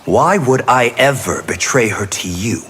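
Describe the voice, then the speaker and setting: A man plays a resolute warrior hero in a video game. He is righteous and lively in pitch. Long reverb and loud noise surround the voice. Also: unhurried, lightly acted.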